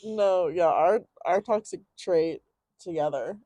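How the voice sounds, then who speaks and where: Young woman, podcast co-host. Loud, slangy casual talker, low warm voice, dry recording. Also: slow pace, no room sound. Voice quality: loud projecting voice. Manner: chatty, laughs often, lots of "like" and slang. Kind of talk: casual chat.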